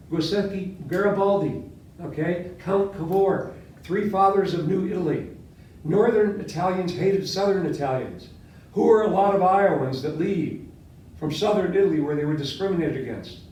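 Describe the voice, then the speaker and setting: An older man, a history professor born in Latvia with Latvian as his first language, giving a classroom lecture and quizzing his students, talking with lively gestures. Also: high-pitched, slight background noise. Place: seminar room with whiteboard. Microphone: far camera. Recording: echoey classroom from far off.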